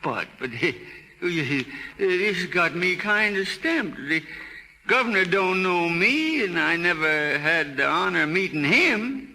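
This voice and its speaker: An actor playing a humble, elderly American country man, freshly out of prison, halting and folksy in 1930s radio drama style. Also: high-pitched, animated, bright, forceful tone, in a large hall, slight background noise, exaggerated voice, rough recording. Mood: humble, bewildered. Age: older. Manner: folksy, halting, repeats himself. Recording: old 1930s radio broadcast, faint music.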